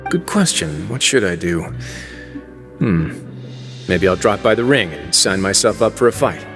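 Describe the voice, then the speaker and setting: A man voicing a composed, wry prison warden in a video game, speaking calmly and dryly. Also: sits low, music in the background, full character voice. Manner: calm, dry and measured.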